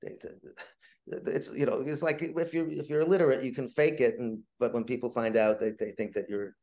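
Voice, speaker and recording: An older man with a gravelly, medium-pitched voice chats as a guest. He stammers and restarts, circling through his stories in a rambling, self-deprecating way. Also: steady tone, darkish tone. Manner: halting, stammering, repeats words, self-deprecating. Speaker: podcast guest. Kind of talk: casual rambling anecdote.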